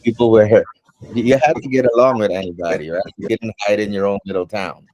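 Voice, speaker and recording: A man from Suriname whose first language is Dutch, answering interview questions in an unhurried way, with many ums and pauses. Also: fairly low pitch, a little mellow, no room sound, low-quality recording.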